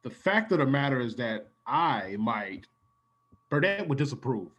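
A man's voice: mid-range voice, very animated, unhurried, a bit bright, husky, full character voice. A man playing a fumbling playboy bachelor in a comedic radio play. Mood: evasive. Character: tipsy playboy bachelor devoted to his dog.

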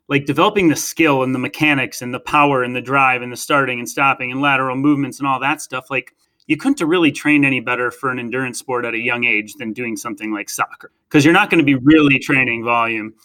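A man's voice: mid-range voice, fast, sharp tone, low-quality recording. A man co-hosting an interview, chatting in a talkative, opinionated way and explaining his points at length. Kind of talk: casual interview chat.

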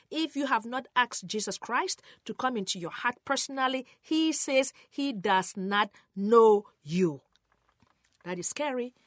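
Woman, highish voice, very animated intonation, earnest emphatic preaching, direct address. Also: dry recording, studio quality. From Cameroon, West Africa. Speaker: Bible teacher, preacher. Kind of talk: expository Bible teaching sermon.

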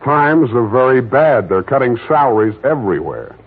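An actor playing a sardonic private detective with dry wisecracks, in 1940s radio acting on a thin, phone-like old recording. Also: male voice, medium pitch, very animated, moderate pace, slightly rough.

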